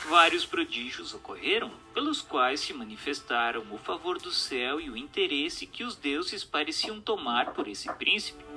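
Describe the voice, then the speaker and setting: A man reading a book aloud as narrator, in a formal, measured, didactic way. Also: medium pitch, sharp tone. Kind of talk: scripted narration, audiobook reading.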